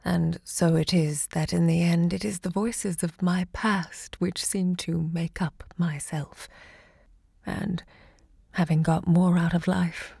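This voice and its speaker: Husky, slow-spoken elderly romantic woman. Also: lowish voice, steady tone, mellow, dry recording, studio quality, exaggerated voice. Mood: grieving, reflective. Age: older. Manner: measured, poetic, intimate. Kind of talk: audio drama acting.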